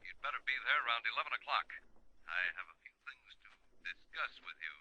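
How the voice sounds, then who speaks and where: A man's voice: mid-range voice, lively intonation, a little fast, bright, forceful tone, muffled recording. A man playing a menacing masked vigilante with a commanding, terse delivery over a telephone in an old-time radio drama. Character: menacing masked vigilante making a threatening phone call.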